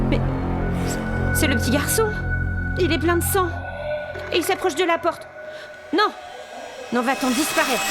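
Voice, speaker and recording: Woman doing scared tourist lady stuck in haunted hotel, highish voice, jumpy and swoopy, horror audio drama, agitated self talk. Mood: frightened, hushed. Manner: breathless, agitated self-talk, from hushed muttering to exasperated calling. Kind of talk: French-language horror audio drama monologue.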